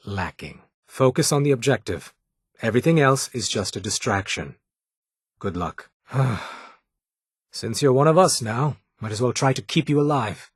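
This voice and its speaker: An actor playing a weary, condescending underground doctor in a video game, speaking in a clipped, formal, sardonic way. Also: male voice, medium pitch, slightly rough, no room sound, studio quality. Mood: sober, businesslike, then dry, reserved.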